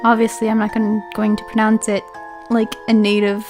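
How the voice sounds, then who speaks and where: A woman's voice: medium pitch, completely flat delivery, moderate pace, over music. A woman reading a visual novel aloud as a let's play host, breaking off into chatty asides and playful self-corrections. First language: English.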